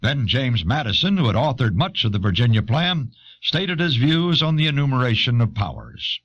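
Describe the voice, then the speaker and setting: A husky-voiced man narrates educational material from a script. His intonation moves widely and keeps an animated shape. The recording is a dull old audio cassette. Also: mid-range voice, moderate pace, no echo.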